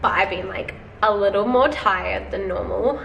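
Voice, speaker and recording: A woman's voice: mid-range voice, moderate pace, creaky, a bit bright, dull audio. Chatty young woman with a head cold. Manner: chatty, filler-heavy, keeps addressing viewers as guys. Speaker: vlogger. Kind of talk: casual video diary to viewers. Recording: roomy, slightly distant, faint noise.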